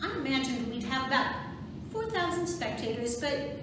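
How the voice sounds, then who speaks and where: A woman playing a proud, brisk suffragist parade organizer in an amateur reader's theatre monologue. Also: sits high, very animated. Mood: proud, boastful. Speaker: volunteer docent performer. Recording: very echoey, distant, noisy room recording.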